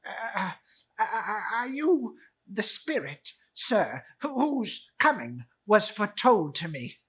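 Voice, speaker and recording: Storybook old miser, acted by a man, blustering and nervous, raspy with wide pitch swings. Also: sing-song, takes it slowly, a bit bright, full character voice. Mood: nervous awe. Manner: stammering, exclamatory. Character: crotchety, frightened old miser, blustering then pleading.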